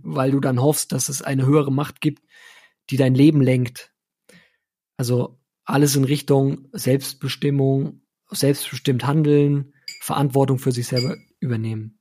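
A man's voice: mid-range voice, dark tone. Casual male podcast co-host. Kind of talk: casual podcast chat, reading out own lyrics.

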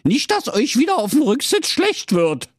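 Comic storybook mother, Berlin accent: very high, shrill, heartless and bossy, performed by a man. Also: wildly swooping pitch, normal speed, no room sound, clean studio sound, heavily acted. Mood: bossy, scolding. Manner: bossy, exclamatory, coarse. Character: shrill, scheming, heartless mother of two teenagers.

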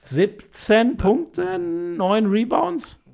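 A man's voice: very high voice, very animated, extremely slow, gravelly, a little mellow. A man co-hosting a sports podcast, joking and ribbing his partner in casual chat and laughing often. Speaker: podcast co-host.

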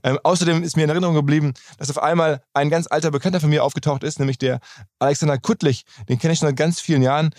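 A man's voice: fairly high pitch, fairly even intonation, very fast, darkish tone, no echo, studio quality. A man hosting a podcast, speaking in a chatty, informal way. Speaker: podcast host. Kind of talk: casual podcast talk and interview, with host-read ad.

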